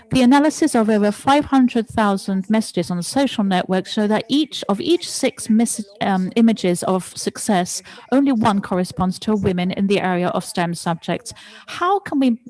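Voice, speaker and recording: A woman renders a speaker's presentation into English in real time for an online panel. Her voice sits at an ordinary middle pitch. She hesitates and restarts often as she keeps pace with the speaker. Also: darkish tone, rough recording. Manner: hesitant, frequent restarts and cut-off words. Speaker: simultaneous interpreter of a panel talk. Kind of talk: simultaneous interpretation of a presentation.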